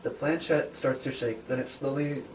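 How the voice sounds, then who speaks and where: A man with a mid-pitched voice reads stage directions in an informal narrating style. The recording is noisy and he sounds some distance from the microphone. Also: fairly even intonation, moderate pace.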